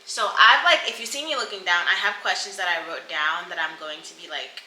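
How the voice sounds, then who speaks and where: A woman's voice: lowish voice, a little fast, sharp tone, reverberant, a little hiss or hum, rough recording. A woman in her mid-twenties from Cameroon, partly raised in the US, chatting casually and informally to camera as a vlogger, with giggly, rambling asides. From Cameroon, partly grew up in the US. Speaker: vlogger introducing her channel.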